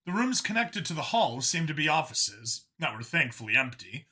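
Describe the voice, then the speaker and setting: A man's voice: fairly high pitch, big pitch swings, normal speed, bright, forceful tone, husky. A man narrating a scripted science fiction story as an audiobook reader.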